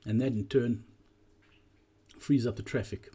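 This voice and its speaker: A man narrating a walkthrough presentation in a measured, explanatory way. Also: mid-range voice, steady tone, mellow, breathy edge.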